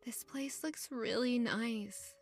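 A woman's voice: on the high side, slow pace, faint music behind. A woman playing an earnest, modest young working woman who can be playfully bold, in an intimate audio drama. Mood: wondering, tired. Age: young adult.

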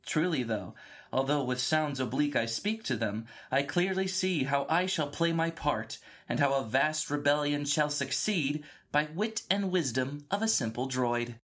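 A man's voice: fairly high pitch, animated, normal speed, a bit bright. A man playing a sly, mischievous little droid, confiding its schemes in a Shakespearean soliloquy for a dramatic reading. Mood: sly, scheming.

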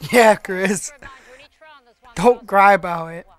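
A woman as a slow-talking, teasing young man. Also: medium pitch, faint music behind, close to an everyday voice. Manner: whining, teasing. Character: troubled, teasing young man, college-age son. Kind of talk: amateur radio play acting.

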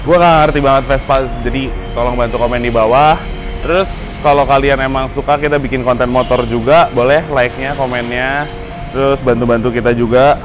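A man's voice: mid-range voice, brisk. A young man chatting casually and jokingly while he washes and inspects an old scooter in an open garage. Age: young adult. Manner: relaxed, joking, slangy, talks while working. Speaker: vlogger. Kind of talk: casual vlog chat. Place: open garage courtyard, outdoors. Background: music.

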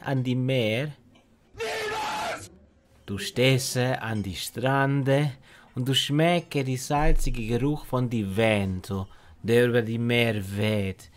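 A man playing a scheming, sentimental Italian inmate in an audio drama parody, with an Italian accent, halting broken grammar and sprinkled Italian words. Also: mid-range voice, natural voice. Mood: wistful, dramatic.

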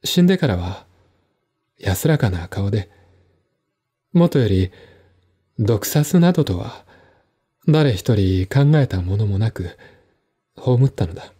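A man playing a composed, articulate man in a one-man audio drama, explaining things in a measured, reflective way. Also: on the low side, animated, mellow, slightly rough, voice pushed down, exaggerated voice. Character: composed, articulate man recounting his stepmother's death.

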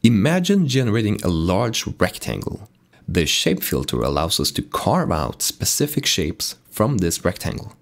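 A man with a very low, raspy voice, hoarse from being sick, talks through code in a steady tutorial. His pitch moves around a lot as he explains each step, keeping the delivery lively despite the rasp. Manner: calm explanatory walkthrough. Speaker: game dev tutorial host. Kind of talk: screen-recorded coding tutorial narration.

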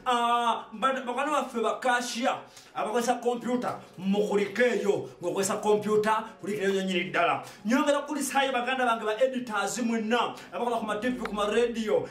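High-pitched Ugandan man in an echoey room. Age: young adult. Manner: animated, exclaiming, repetitive. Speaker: host of an online TV show. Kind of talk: informal comic monologue to camera. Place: small indoor studio with padded backdrop. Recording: roomy echo, distant microphone, music behind.

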